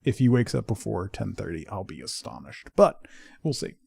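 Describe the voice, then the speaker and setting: A middle-aged man with a creaky, relaxed voice talks casually as a game streamer. His delivery rambles along with a joking edge, recorded close and dry over faint music. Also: on the low side, moderate pace, dry recording. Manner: rambling, joking, mock-scolding. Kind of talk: casual livestream chat. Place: indoors at home.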